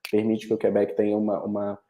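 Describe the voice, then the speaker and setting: A man's voice: lowish voice, completely flat delivery, fast talker, reverberant, background noise. A man with a Carioca accent giving an informative online presentation over a video call, often restarting his sentences. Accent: Carioca Brazilian Portuguese. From Rio de Janeiro, Brazil. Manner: informative, with frequent restarts and fillers. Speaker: international education representative.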